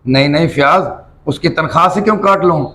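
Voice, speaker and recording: A kindly, commanding rich employer played by a male actor in a homemade moral drama. The voice is somewhat high and brisk, with a faint noisy ambience behind it. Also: lightly acted. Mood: generous. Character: generous wealthy employer, trusting but firm. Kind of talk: amateur moral-story video skit acting.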